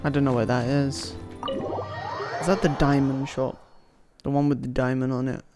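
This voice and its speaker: A man's voice: mid-range voice, flat delivery, normal speed. A man streaming a game, reacting casually and talking to himself as he plays. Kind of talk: casual reactions during gameplay. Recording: game music behind voice.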